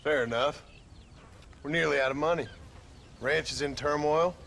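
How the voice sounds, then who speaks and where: A man's voice: on the high side, moderate pace, creaky, noisy background. An actor playing a plainspoken, tough frontier rancher and father with an American Western accent in a video game. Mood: impatient. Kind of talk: video game cutscene acting.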